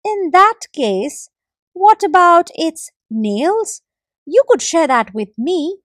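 A woman's voice: very high voice, sing-song, slow, fairly bright tone, no echo, clean studio sound, pitched above the performer's own voice, full character voice. A woman voicing a clever, boastful male forest gecko in a children's story, stubbornly turning down every request. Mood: coaxing. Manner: proud, stubborn refusals.

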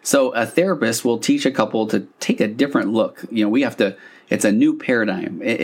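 A man hosting a podcast, talking in a casual, explanatory, conversational monologue. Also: on the low side. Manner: conversational, acting out example dialogue lines. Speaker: podcast host.

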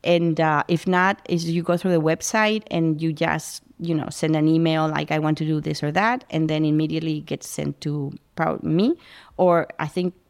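A middle-aged woman from Spain, a food pantry founder chatting as a guest in a halting, restarting way. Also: low voice, steady tone. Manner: warm, halting, many restarts. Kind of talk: casual interview talk.

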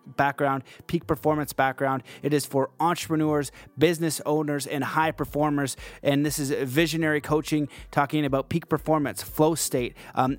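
A man hosting a podcast, presenting in an informal, enthusiastic way. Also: mid-range voice. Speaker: podcast host and coach. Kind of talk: podcast host talk, promos and guest introduction.

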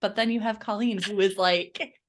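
A woman's voice: medium pitch, fairly even intonation, moderate pace. A woman talking casually and supportively on a behind-the-scenes podcast as one of the team. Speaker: podcast team member, online course manager.

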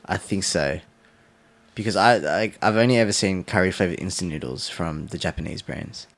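A man's voice: low-pitched, moderate pace. A man co-hosting a podcast, rambling casually with many "like"s and restarts. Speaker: podcast co-host.

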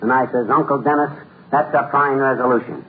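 An actor playing a folksy, wisecracking middle-aged American husband in a 1950s radio comedy, grumbling and sardonic. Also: male voice, medium pitch, steady tone, brisk, natural voice. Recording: tinny, noisy old radio broadcast.

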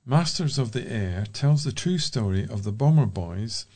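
A man's voice: medium pitch, lively intonation, moderate pace, darkish tone. A British man reading local news items aloud as a volunteer news reader.

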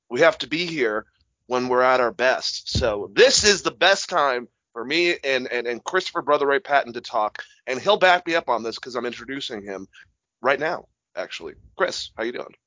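A man's voice: medium pitch, fairly bright tone, no echo, dull audio. A young man from Massachusetts, co-hosting a casual podcast and rambling through fillers and repeated words. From Massachusetts, USA. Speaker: podcast co-host.

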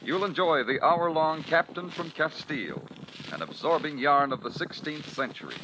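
A man's voice: a little high, expressive, moderate pace. A man announcing on 1940s radio, reading a scripted program promo. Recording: noisy old radio broadcast.

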